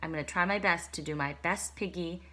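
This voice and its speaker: A young woman teacher speaking to first graders about a read-aloud, gentle and encouraging, pausing to think. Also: fairly low pitch. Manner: gentle, encouraging, pauses with hmm.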